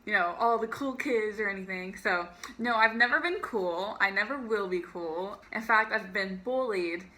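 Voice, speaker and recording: A woman's voice: normal speed, bright, forceful tone, rough recording. A young woman telling a personal story to camera in a chatty, peppy way, laughing often and using lots of fillers. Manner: peppy raised voice over a lower natural one. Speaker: vlogger. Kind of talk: casual storytime monologue.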